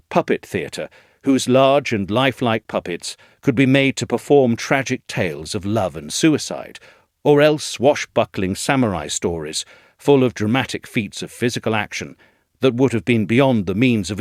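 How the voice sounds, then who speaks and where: A man reading a scripted audio essay in a measured, articulate storytelling manner. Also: fairly low pitch, no echo, clean studio sound. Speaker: essay narrator, reader.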